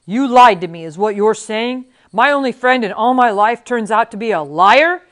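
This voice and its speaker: A woman's voice: medium pitch, a little fast, lightly acted. Lady reading as a big honest giant guy from a storybook, indignant, scares easy. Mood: hurt, indignant. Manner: wounded, indignant, screaming when panicked. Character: huge Turkish man, simple, honest, frightened of bats.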